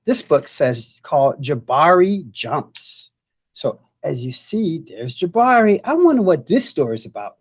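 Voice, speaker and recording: Woman doing a kids read-aloud, really slow n expressive, lots of up and down. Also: low-pitched, breathy edge. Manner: expressive, deliberate, pauses to comment to viewers. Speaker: educator reading aloud. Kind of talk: children's storybook read-aloud.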